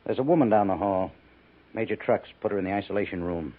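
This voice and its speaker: An actor playing a solicitous, careful army major at a frontier fort in a 1950s radio western. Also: male voice, fairly low pitch, fast, breathy edge, phone-quality sound. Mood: careful, grave. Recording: thin, band-limited 1950s radio recording.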